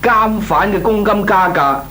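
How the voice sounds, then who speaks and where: An actor playing a cocky, slangy young layabout nephew in a Cantonese radio comedy drama, scoffing and flippant, with a Hong Kong Cantonese accent. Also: male voice, high-pitched, bright, forceful tone. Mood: cocky, argumentative. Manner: scoffing, flippant, drawn-out theatrical delivery. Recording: noisy old radio recording with hum.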